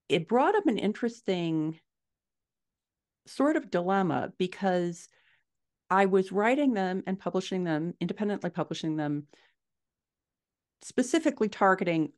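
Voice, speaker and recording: Woman, middle-aged, low register. Author guest, explaining in a conversational interview style. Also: a little mellow. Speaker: podcast guest, fiction author. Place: indoors at home, small furnished room. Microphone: video call.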